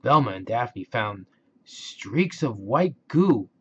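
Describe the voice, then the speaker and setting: A man narrates a children's story as a read-aloud. His middle-pitched voice moves slowly with plenty of intonation. The recording sounds a little dull. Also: sing-song, fairly bright tone, a little hiss or hum.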